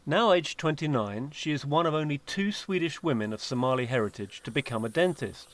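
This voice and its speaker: Measured male documentary narrator. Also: on the high side, expressive, fairly bright tone.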